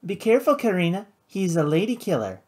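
A woman's voice: on the low side, sing-song, brisk, a little mellow, voice pushed up. A woman voicing a playful, defiant young socialite sister in theatrical audiobook dialogue. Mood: teasing, grandly introducing. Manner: teasing, theatrical.

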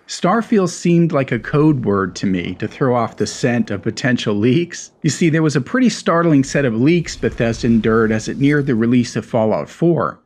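A man with a slightly raspy, tired voice, narrating a casual video analysis of gaming lore as a channel host. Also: medium pitch. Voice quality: slightly raspy from lack of sleep. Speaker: gaming YouTuber.

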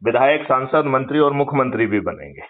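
A man's voice: medium pitch, animated, fairly quick. An older man talking persuasively about politics on a phone call, emphatic and lecturing, often asking rhetorical questions for agreement. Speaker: activist, retired police officer. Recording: muffled narrow-band phone call recording.

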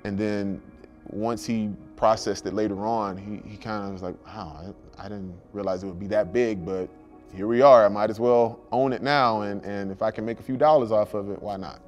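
A man's voice: fairly low pitch, steady tone, moderate pace, a little mellow. A middle-aged man, a former football player, reminiscing casually in a seated interview. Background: music.

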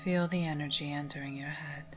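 Female meditation guide, low soft breathy voice, calming near-whisper, slightly muffled lo-fi recording. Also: a little fast. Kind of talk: guided meditation, slow spoken instructions. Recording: dull lo-fi sound under music. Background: meditation music with singing bowls.

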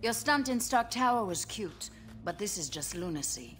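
An actress playing a cool, stern female spy in a video game, speaking in a measured way. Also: mid-range voice, very animated, a bit bright, a little hiss or hum. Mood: stern, reluctant. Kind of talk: video game cutscene acting.

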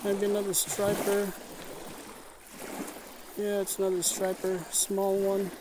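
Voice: medium pitch, monotone, slow pace. A fishing vlogger giving casual commentary in short, plain remarks to viewers, recorded on an action camera outdoors by a lake. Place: outdoors on an open lake shore. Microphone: chest or head-mounted action camera. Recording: outdoor action camera with clear ambient noise.

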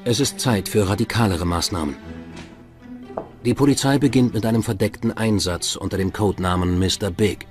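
A man's voice: lowish voice, normal speed. A man delivering scripted narration for a true-crime documentary. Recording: music bed behind narration. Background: music.

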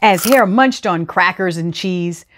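A middle-aged woman hosting storytime, reading aloud to children in an expressive, deliberate, teacherly way. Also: on the low side, slight background noise. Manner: animated, deliberate teacherly delivery. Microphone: lapel mic.

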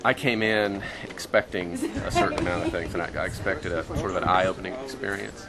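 Man, 50s, deep voice, halting off-the-cuff testimonial outdoors, heavy crowd noise behind. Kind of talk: unscripted testimonial. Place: outdoors on a wooden deck near trees. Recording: loud chatter behind, outdoor camcorder.

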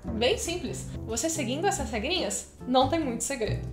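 High-voiced Brazilian woman explaining at her desk. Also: expressive, fairly quick, music in the background. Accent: Brazilian Portuguese. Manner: chatty, explanatory, frequent "né?" and "tá?" tags. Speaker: channel host. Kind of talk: casual explainer to camera. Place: home office, indoors.

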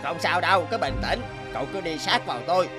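A carefree cartoon iron pot, voiced by a man, talks with lively swings in pitch. It is cheerful, clumsy and apologetic, over prominent music. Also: fast talker, natural voice. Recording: loud music under the voice.

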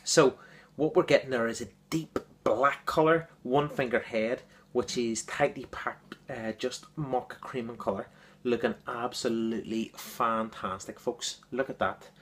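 A man giving an enthusiastic, casual beer review, repeating words and filling pauses with ums. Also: medium pitch, fairly even intonation, a bit bright.

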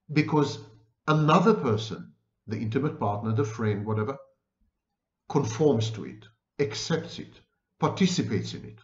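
A man in his fifties giving a formal lecture to camera, reading out quotations and commenting in a deliberate, emphatic manner. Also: mid-range voice. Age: 50s. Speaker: professor lecturing. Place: small room indoors. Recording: roomy, echoey, dull lo-fi webcam sound.

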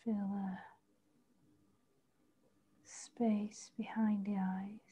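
A woman leading a guided body meditation, speaking slowly and softly, often in a half whisper. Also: mid-range voice, little pitch movement, slightly rough. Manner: slow, soft, partly whispered guidance. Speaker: meditation teacher. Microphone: video call.

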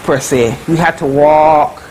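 A Barbadian woman in her forties, remembering her school days in a slow, halting way as an interview guest on a video call from her living room. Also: low voice, fairly even intonation, darkish tone, a little hiss or hum, rough recording. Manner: slow, reflective, halting with fillers. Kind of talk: casual recollection. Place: living room at home, indoors. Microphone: webcam on a video call.

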